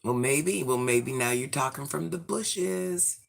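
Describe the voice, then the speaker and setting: A man in his forties, hoarse from illness, chatting sassily with viewers on a livestream and reading out their comments. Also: mid-range voice, measured pace. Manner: sassy, chatty, addresses viewers by name. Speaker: livestream host.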